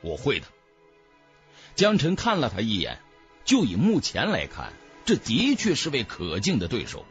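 A man narrating an audiobook in a dramatic, theatrical, expressive storyteller's manner. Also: medium pitch, moderate pace, muffled recording, faint music behind.

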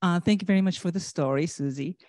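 A woman asks a polite question, halting over a few words. Her voice is slightly low and mellow. The sound is dry. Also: dry recording. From Japan. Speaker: audience member asking a question. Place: large conference hall.

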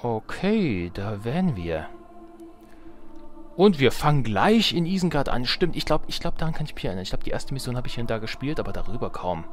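A man's voice: medium pitch, very animated, a little fast. A young adult man doing casual let's play commentary, chatty and thinking aloud as he goes. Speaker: let's player. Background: game music.

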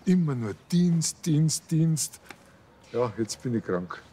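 A plaintive Bavarian village cop. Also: male voice, a little high, expressive, slow pace, mellow, slightly rough, full character voice. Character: self-pitying middle-aged male village policeman. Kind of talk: TV crime comedy acting.

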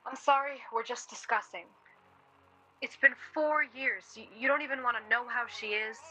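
A woman's voice: a little high, moderate pace, bright, forceful tone, faint music behind, poor audio. An actress playing an insistent, concerned woman in a naturalistic radio drama, pleading and exasperated. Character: insistent, concerned woman persuading a stubborn man.

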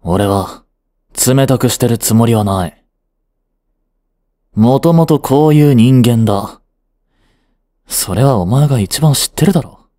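A man's voice: medium pitch, animated, measured pace, pauses often, warm tone, no echo, studio quality, close to an everyday voice. A man acting an aloof, blunt but devoted young boyfriend in a romantic audio drama, curt and intimate. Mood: irritated, cold.

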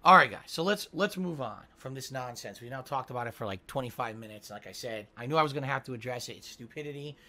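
A man's voice: mid-range voice, animated, fast talker, hardly any pauses, sharp tone. A man streaming a video game and chatting casually while congested with a cold. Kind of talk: casual live-stream chat.

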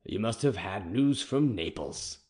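A man reading an audiobook, voicing a proud, defiant captive king who speaks in a scornful, measured way. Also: on the low side, animated, a little mellow.

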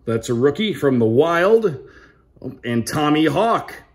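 A man's voice: on the high side, big pitch swings, slow. A man hosting an unboxing video with casual, upbeat commentary, talking straight to the viewers. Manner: upbeat, addresses viewers directly. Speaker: sports broadcaster hosting a card unboxing. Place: indoor room at a wooden table.

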